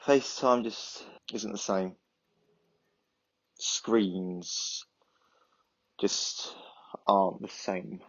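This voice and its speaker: Actor as slow, halting, weary father. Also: lowish voice, fairly bright tone, lo-fi sound, full character voice. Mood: sad, strained. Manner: halting, with sighs and pauses. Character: weary father isolating from his family, missing his partner. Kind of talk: monologue as a spoken diary entry.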